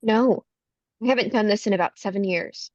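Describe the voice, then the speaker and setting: A woman's voice: medium pitch, moderate pace, dry recording, highs cut off, close to an everyday voice. A woman playing an anxious, reluctant young pageant contestant who protests in distress during a screenplay table read.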